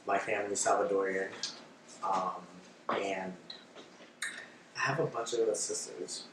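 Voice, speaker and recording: A man's voice: deep voice, fairly even intonation, a little slow. A man in his twenties from Washington DC, co-hosting a casual podcast with playful, campy banter. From Washington DC, United States. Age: 20s. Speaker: podcast co-host. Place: echoey room. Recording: very echoey room, far mic, faint noise.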